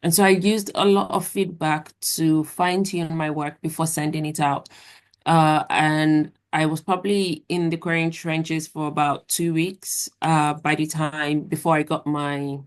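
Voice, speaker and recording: A young adult woman, a guest author, answering interview questions in a measured, thoughtful way. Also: deep voice, level tone.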